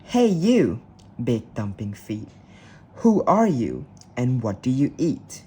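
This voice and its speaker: A man doing a loud boastful frog. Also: mid-range voice, very animated, takes it slowly, gravelly, breathy edge, full character voice. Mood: boastful shouting. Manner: shouting, brash. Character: loud boastful big-mouthed male frog.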